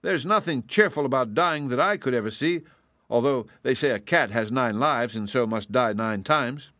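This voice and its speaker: A man's voice: medium pitch, moderate pace, fairly bright tone, no room sound, studio quality, voice pushed up, close to an everyday voice. A man voicing a contrary, grumbling pet kitten in a storybook, protesting bluntly.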